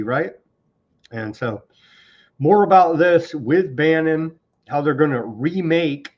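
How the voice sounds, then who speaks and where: A man's voice: fairly high pitch, muffled recording. Sarcastic man ranting on news. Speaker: political commentary host. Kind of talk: live online news commentary.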